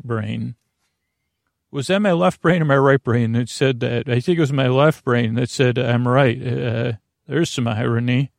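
A gravelly, fry-heavy male voice in a very dry recording. He hosts a sleep podcast as a soothing, rambling monologue. He stumbles over word starts, circles back and questions himself as he drifts between tangents. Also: mid-range voice, no echo. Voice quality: creaky. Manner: meandering, stammering, tangent-prone, self-questioning; soothing, lulling, drowsy delivery. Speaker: podcast host.

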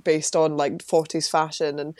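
A woman from Britain with a fairly low voice, chatting as an interview guest. She rambles, trailing off and restarting her sentences. The recording is close and dry, with no room sound. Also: little pitch movement, normal speed, darkish tone, no room sound. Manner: rambling, with many fillers and restarts. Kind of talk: casual chat.

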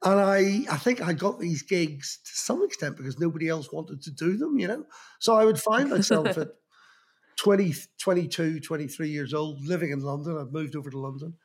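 A man's voice: a little high, a little fast. A man from Belfast with a Belfast accent, in his fifties, recalling his start in music journalism in a rambling, anecdotal interview manner with many restarts. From Northern Ireland. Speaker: guest, magazine journalist. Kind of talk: reflective interview talk.

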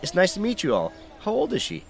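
A man playing a brisk, friendly training organizer in an audio drama. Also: sits high, wildly swooping pitch, creaky, exaggerated voice.

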